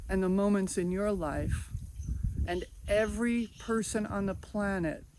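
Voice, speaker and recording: Middle-aged woman from New York, unhurried uplifting talker, recorded outdoors with clear background noise. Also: medium pitch, a little mellow. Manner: slow, earnest and encouraging. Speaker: video host. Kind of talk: inspirational personal talk.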